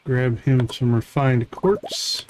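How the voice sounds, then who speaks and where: Male game streamer, unhurried and mid-pitched, musing casually in short bits, some background noise. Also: animated. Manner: thinking aloud in short fragments. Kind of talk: casual live gameplay commentary.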